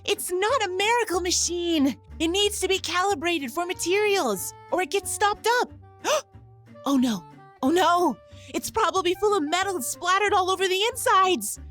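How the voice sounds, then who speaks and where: A woman's voice: extremely high pitch, normal speed, a bit bright, faint music behind. A woman playing an irritable, proud robot girl engineer, snippy and sarcastic, in a sci-fi audio drama. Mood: exasperated, alarmed.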